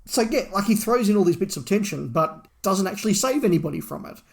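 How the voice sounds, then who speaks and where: A man's voice: high-pitched, expressive, fast talker, vocal fry. A middle-aged man talking at length and with emphasis as a podcast co-host, in a casual review discussion.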